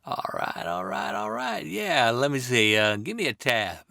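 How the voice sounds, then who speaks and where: Stammering young hero played by a man, bewildered, gravelly, animated, dry close sound, comic read. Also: medium pitch, no room sound, heavily acted. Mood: flustered. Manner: stammering, halting. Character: flustered, hesitant teenage boy hero. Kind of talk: comedic impression at a table read.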